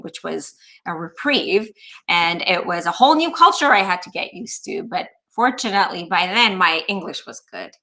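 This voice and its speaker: A middle-aged woman from Iran, a physician guest on an interview show, tells her story earnestly and reflectively. Her voice is bright and clear but sits on the low side for a woman, and she often uses "you know" and "um".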